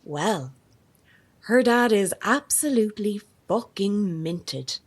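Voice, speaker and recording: A woman playing a sharp-witted, persistent investigative reporter in an audio drama, with an articulate, probing manner. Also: medium pitch, animated, slow, husky. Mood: pointed, confrontational. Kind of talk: naturalistic audio drama acting.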